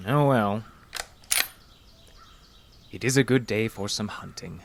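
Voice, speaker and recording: A man playing a calmly menacing, condescending counselor in a horror audio drama, speaking deliberately and tauntingly. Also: on the low side, vocal fry, noisy background. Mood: coldly menacing. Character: sinister, calmly menacing male counselor secretly drugging his patient.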